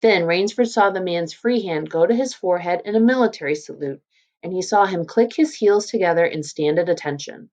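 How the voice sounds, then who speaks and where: A woman's voice: lowish voice, brisk, a little mellow. A woman teacher reading a story aloud and explaining it in an informal way. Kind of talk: read-aloud lesson with casual explanatory commentary.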